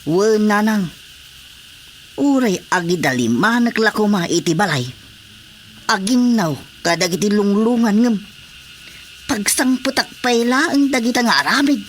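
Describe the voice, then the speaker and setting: A man's voice: high voice, expressive, unhurried, long pauses. A fed-up, overworked husband in a radio drama. He complains in a plaintive, grumbling way with an Ilocano accent, over faint background noise. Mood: weary, exasperated.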